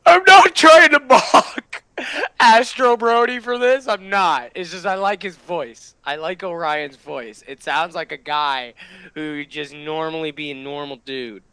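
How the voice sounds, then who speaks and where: A young man hosting a reaction video, excitable and gushing, repeating himself in casual commentary. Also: a little high, measured pace, clean tone, no room sound.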